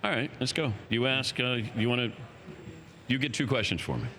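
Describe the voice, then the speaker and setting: A man hosting a talk show, asking questions in a brisk, direct, joking way. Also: medium pitch, bright, forceful tone, slight background noise. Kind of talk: interview questions, casual banter.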